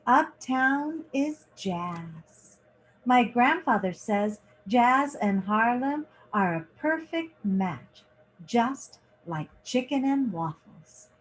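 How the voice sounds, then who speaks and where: A woman reading a children's picture book aloud in short, deliberate phrases, like a teacher. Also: on the high side, lively intonation, rough recording.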